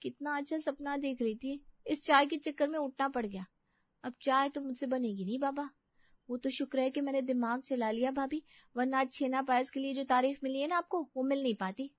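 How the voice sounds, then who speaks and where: Woman playing a high-voiced, sly young daughter-in-law. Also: steady tone, brisk, no echo, dull audio, natural voice. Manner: complaining, glib. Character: young daughter-in-law, sly, grumbling, quick-witted schemer.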